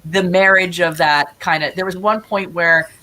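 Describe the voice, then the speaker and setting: A woman speaking as a guest playwright in an animated interview, effusive and often restarting her thoughts midway. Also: lowish voice, moderate pace, slight background noise, low-quality recording.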